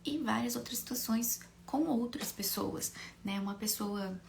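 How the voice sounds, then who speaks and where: High, creaky-voiced Brazilian woman, slightly hoarse. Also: unhurried, darkish tone, a little hiss or hum. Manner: conversational, explanatory, frequent tag questions. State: hoarse from a cold. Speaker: teacher of a live online class for mothers. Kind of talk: informal live lesson with rhetorical questions.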